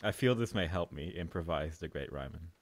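A man's voice: low voice, animated, fairly quick, dry recording. A man, an amateur voice actor, talking casually between takes.

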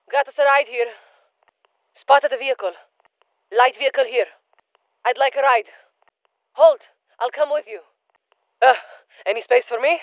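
A woman's voice: on the high side, a bit bright, dry recording, close to an everyday voice, poor audio. A woman voicing a tough soldier. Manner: clipped military callouts with dry humor. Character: tough female soldier operator, battle-hardened and warm to her comrades. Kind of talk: game voice lines.

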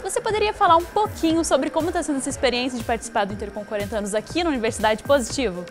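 A young woman with a Brazilian Portuguese accent presents an interview. Her voice is high, and she delivers prepared lines and questions. Music plays behind her. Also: rapid-fire. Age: 20s. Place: glass-walled lobby set, seated interview.

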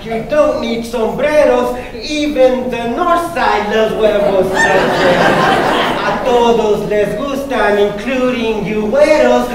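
Middle-aged male performance poet, loud playful rhyming delivery, slow and raised in pitch, live before an audience. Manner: loud, playful declaiming with Spanish words mixed in. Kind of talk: live spoken-word poetry performance, rhymed and declaimed. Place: large meeting hall with audience. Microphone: stand microphone on stage. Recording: echoey hall, far mic, audience noise.

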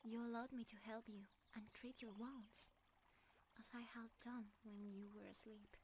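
Woman playing a loving deer-girl forest creature, ASMR roleplay, breathy and whispery, fairly high pitch, tender, faint nature ambience behind. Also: slight background noise, close to an everyday voice. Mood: gentle, reassuring. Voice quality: soft, breathy, often whispering. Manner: calm, delicate, tender, unhurried. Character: gentle, loving, timeless female deer-centaur forest creature.

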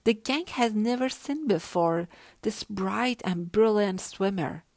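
A woman reading a children's story aloud with an expressive, rhythmic rhyming delivery. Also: mid-range voice, takes it slowly, no room sound. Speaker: storybook reader.

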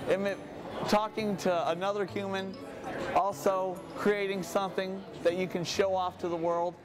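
A young man talking about his own artwork, halting and earnest, pausing mid-sentence in a busy indoor hall. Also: sits high, flat delivery, a crowd in the background. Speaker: exhibiting artist. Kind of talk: personal interview.

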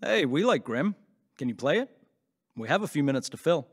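An actor playing an upbeat, boyish radio show host who chats informally and with enthusiasm in an audio drama. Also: male voice, a little high, very animated, a little fast, breathy edge. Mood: teasing, curious. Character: upbeat male late-night radio host, chatty and boyish.

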